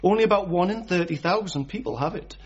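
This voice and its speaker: An actor playing an odd, morbid coroner who relishes death, in a radio comedy drama. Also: male voice, sits high, husky, dull audio. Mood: confiding, relishing. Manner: pedantic, deliberate, eerily relishing. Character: odd, morbid male coroner, ghoulishly delighted by the dead.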